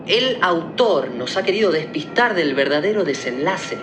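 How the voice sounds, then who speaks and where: A man's voice: fairly high pitch, sing-song, moderate pace, reverberant, background noise. An actor playing an earnest young man in a radio play, reasoning out a mystery in an emphatic, explanatory way. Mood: urgent, reasoning. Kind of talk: theatrical radio play acting.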